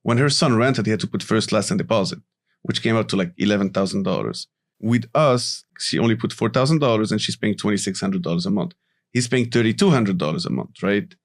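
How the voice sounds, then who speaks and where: Guest: man from Morocco, lowish voice, relaxed explainer, casual chatty delivery. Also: a little fast. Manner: relaxed, explanatory, tags sentences with "right?" and "you know". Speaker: guest, company CEO. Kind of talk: casual interview talk.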